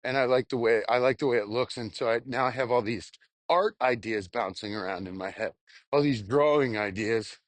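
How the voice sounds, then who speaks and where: A young adult man, a stand-up comedian, rambling casually and candidly in a halting, self-reflective way with some casual swearing. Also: medium pitch, no echo. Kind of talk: casual rambling monologue, audio journal.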